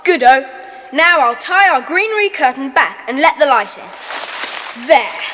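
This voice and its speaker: A woman playing a bossy young schoolboy club leader in a children's audio drama, brisk and commanding. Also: high voice, lively intonation, pushed and bright, exaggerated voice. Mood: pleased, hearty. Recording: long hall-like reverb on voice.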